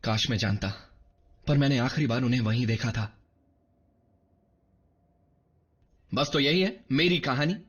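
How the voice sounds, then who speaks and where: A man voicing a cocky, eager young robot soldier, brash and earnest, in an animated series dub. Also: mid-range voice, fairly quick, breathy edge. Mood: earnest, confident. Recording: dull lo-fi dub under loud music.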